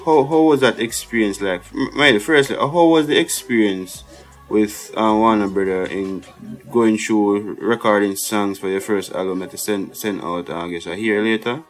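A man's voice: lowish voice, normal speed, faint music behind, low-quality recording. A man, a radio DJ, asking interview questions in a casual, repetitive way. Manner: casual, repeats words, "cool, cool".

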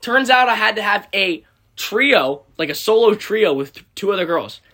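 A man's voice: sits high, expressive, normal speed, sharp tone. A young American man chatting casually with friends and telling stories in a slangy, joking way. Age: young adult. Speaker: podcast co-host. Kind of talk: casual chat and anecdotes with friends.

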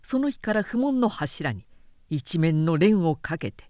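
A woman narrating a historical novel as a scripted recitation, measured and dramatic in delivery. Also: lowish voice, very animated, darkish tone, no room sound, clean studio sound.

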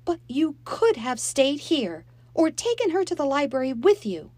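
A woman's voice: big pitch swings, measured pace, a little mellow, no room sound. A woman voicing an upset mother whose voice quavers as she scolds and pleads, in a storybook reading. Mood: pleading, tearful. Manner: high, strained, quavering. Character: upset mother, worried, tearful, strained.

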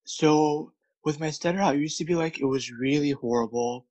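A man's voice: a little high, normal speed, a little mellow, no echo. A man who stutters, with repeated words and many fillers, speaking candidly as a guest about his work as a speech coach. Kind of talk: candid interview conversation.

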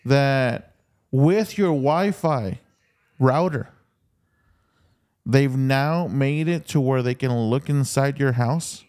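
A man's voice: medium pitch, slow pace, pauses often, darkish tone, clean tone. A man in a casual chat, explaining things as a podcast co-host and restating his points for emphasis.

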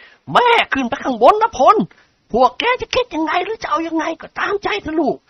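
A reader voicing a frightened older noblewoman who talks high and pleading. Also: very animated, a little fast, no echo, lo-fi sound. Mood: fearful.

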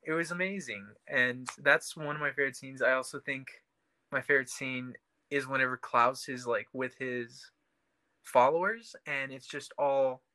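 A podcast panelist with a very bright voice talks casually about television. The delivery is informal and enthusiastic, and the sound is dry and close. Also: mid-range voice, fairly even intonation, normal speed, no echo. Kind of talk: casual chat about a TV show.

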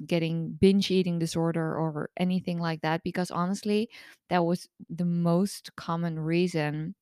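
A hoarse woman in her thirties who has lost her voice, a coach hosting her own podcast in a candid, chatty way. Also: lowish voice, fairly even intonation, normal speed, mellow, no echo, studio quality. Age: 30s. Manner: candid, chatty, open-book. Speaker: podcast host and eating disorder recovery coach. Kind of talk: casual solo podcast monologue.

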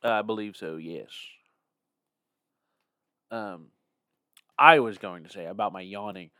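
A man's voice: lowish voice, unhurried, bright, forceful tone, dry recording, clean studio sound. A young man getting over a cold, chatting casually as a podcast co-host. He is tired, yawns, rambles and laughs often. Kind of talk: casual chat.

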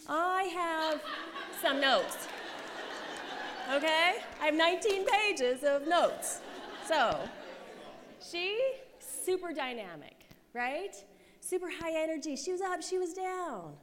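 A woman's voice: very high-pitched, expressive, slow pace, fairly bright tone, some chatter behind. A woman in her forties from the United States, giving a chatty, self-deprecating conference sermon to a live audience, often checking in with "right?" and "okay?". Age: 40s. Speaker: conference speaker, pastor's wife. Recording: reverberant hall, faint room noise.

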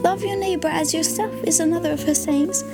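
A woman's voice: very high voice, fairly even intonation, a little fast, over loud music, voice pushed up. A woman doing a tender, cheerful poor little girl. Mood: earnest.

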